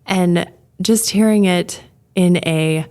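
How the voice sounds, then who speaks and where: A woman's voice: lowish voice, slow pace, a little mellow. A woman co-hosting a faith-based podcast, talking in a friendly, easygoing way with easy laughter. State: recovering hoarse voice. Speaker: podcast co-host. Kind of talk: casual chat.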